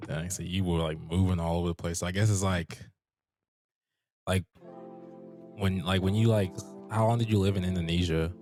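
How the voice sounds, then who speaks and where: A man hosting a casual interview, asking questions in a relaxed way full of "like" fillers. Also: low voice, normal speed, long pauses, faint music behind. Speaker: interview host. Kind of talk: casual chat.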